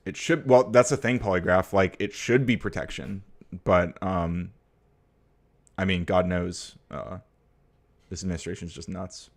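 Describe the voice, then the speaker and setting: Deep-voiced American man riffing on stream. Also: fairly even intonation, measured pace. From United States. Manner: rambling and hesitant, full of fillers and restarts; blunt and profane when riled. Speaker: livestream host. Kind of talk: casual political chat, reading viewer comments.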